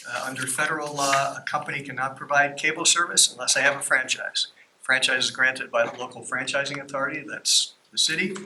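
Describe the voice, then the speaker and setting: A man working as an outside attorney, giving a plain, unhurried legal briefing to a city council. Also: medium pitch. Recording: noisy council meeting audio.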